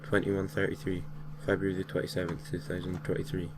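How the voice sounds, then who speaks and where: A man with a deep voice reads a creepy tale in an amateur narration style. His delivery stays flat, with the odd stumble and self-correction. The home recording has clear noise behind him. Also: brisk. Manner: stumbles over words and corrects himself. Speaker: host reading creepypasta stories. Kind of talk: reading a creepy story aloud, amateur narration.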